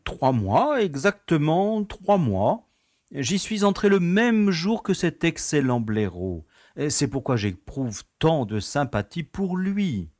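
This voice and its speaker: Man playing an urbane, animated prison director. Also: a little high, takes it slowly, dry recording, studio quality. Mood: genial. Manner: wry, nostalgic, genteel storytelling. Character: urbane, easygoing prison director, former playboy. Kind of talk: audio drama acting.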